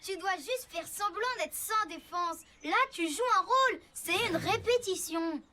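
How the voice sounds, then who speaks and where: A woman's voice: extremely high pitch, lively intonation, measured pace, bright, forceful tone, slight background noise, heavily acted. A woman voicing an eager, kind young talking motorbike, a child hero in a children's cartoon, earnest and exclamatory. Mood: heroic. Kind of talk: animated children's cartoon dubbing.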